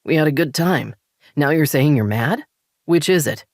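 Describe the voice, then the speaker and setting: A woman's voice: deep voice, animated, moderate pace, no room sound, clean studio sound. A woman voicing a nervous, defensive husband who sounds sulky and wounded.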